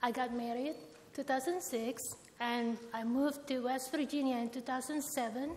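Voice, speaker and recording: A woman from Indonesia with an Indonesian accent, formally and deliberately telling her personal story as a roundtable guest. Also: high voice, steady tone, darkish tone. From Jakarta, Indonesia. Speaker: roundtable guest, bank employee. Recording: echoey hall, noticeable background noise.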